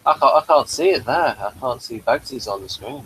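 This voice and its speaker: A man's voice: mid-range voice, lively intonation, brisk, a bit bright, husky, rough recording. A man hosting a casual chat reviewing a TV episode, easygoing and a bit hesitant. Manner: easygoing, chatty, a little hesitant. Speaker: podcast host.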